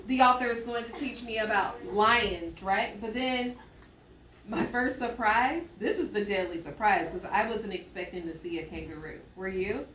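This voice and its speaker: A woman teaching children through an interactive read-aloud, thinking out loud and checking in with her listeners. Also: fairly high pitch. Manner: thinking aloud with musing hums and exclamations. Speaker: elementary school teacher. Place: classroom with echo. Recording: roomy, distant mic, faint noise.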